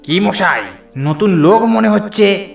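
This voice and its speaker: Echoey, nosy station master. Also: male voice, sits high, slow, gravelly, heavily acted. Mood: inquisitive, wary. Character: curious, doubtful male village station master. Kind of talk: Bengali horror cartoon voice acting. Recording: echoey, music behind.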